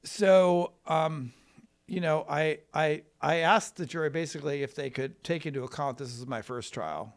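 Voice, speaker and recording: An American man from Wisconsin, a trial lawyer telling his story in a casual, rambling, folksy and halting way. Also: fairly high pitch. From Wisconsin, United States.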